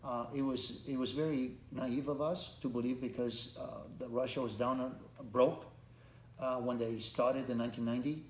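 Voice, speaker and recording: Middle-aged Romanian-born man, earnest and halting, mid pitch, taped in a reverberant room. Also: fairly even intonation. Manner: earnest, halting, many restarts. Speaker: congressional candidate. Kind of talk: interview answers. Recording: echoey room, faint background noise.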